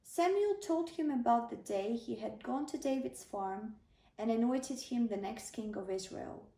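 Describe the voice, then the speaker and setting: A woman's voice: a little high, expressive, unhurried, vocal fry, warm tone. A woman narrating a children's bedtime story, reading aloud as a storyteller. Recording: roomy, slightly distant microphone.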